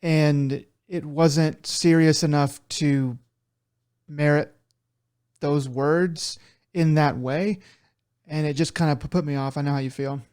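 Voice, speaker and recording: A man with a moderately high-pitched voice offers casual commentary as a co-host. He speaks conversationally and haltingly, often repeating a word before carrying on. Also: fairly even intonation, a little mellow, clean tone. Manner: halting, stammered repetitions of words. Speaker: podcast co-host.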